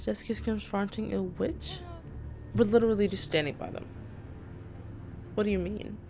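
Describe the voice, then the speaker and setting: A woman's voice: mid-range voice, fairly even intonation, normal speed, mellow. A woman giving casual gameplay commentary, with reactive, gushing asides. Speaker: gaming video creator.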